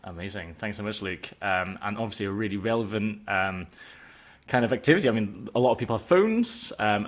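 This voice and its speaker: A man hosting an informal online presentation of an educational resource, speaking in an enthusiastic but hesitant, discursive way. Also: on the low side.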